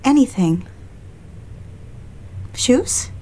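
Actress as genteel, high-voiced young society woman. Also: expressive, extremely slow, mellow, heavily acted, low-quality recording. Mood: admiring, eager. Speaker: lead character and narrator. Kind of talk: audio drama acting with first-person narration.

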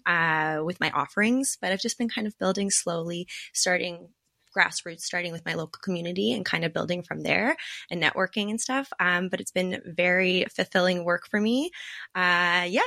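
A young woman from Canada talks openly and easily as a podcast guest, telling her story in a relaxed, chatty way. Her voice sits low and carries a gravelly fry. The sound is close and dry, with no room around it. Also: no echo. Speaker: guest sex educator. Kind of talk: casual podcast chat.

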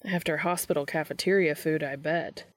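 A woman's voice: low-pitched, steady tone, normal speed, dry recording. A woman voicing an easygoing, caring young man in an audiobook, casual and joking. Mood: easy.

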